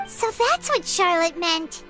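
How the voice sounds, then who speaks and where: A woman voicing a small, chatty, eager fairy companion girl in a video game, squeaky and childlike, with music behind. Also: very animated, slow, sharp tone, full character voice. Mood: curious. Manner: squeaky, refers to self in third person. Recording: background music under the voice.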